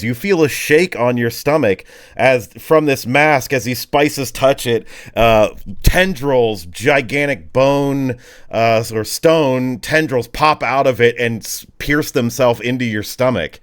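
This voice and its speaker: Male game master, mid-pitched voice, improvising colorful narration in casual, halting phrases. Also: smooth. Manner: vivid, colloquial, halting description.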